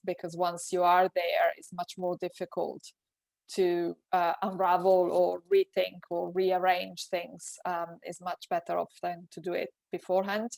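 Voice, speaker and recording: A woman's voice: fairly low pitch, gravelly, a little mellow, no room sound. A woman with an Italian accent, a native Italian speaker, giving practical advice as a webinar panelist over a video call and often restarting her phrases. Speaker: webinar panelist, tax advisor.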